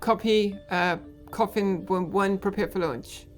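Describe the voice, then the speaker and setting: A woman's voice: mid-range voice, steady tone, measured pace. A woman hosting a gaming video, speaking in a narrator's style as she recounts and takes part in online roleplay. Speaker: video host and trainee air traffic controller in a game org. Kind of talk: scripted voice-over narration with some in-game roleplay talk. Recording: music behind the voice. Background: music.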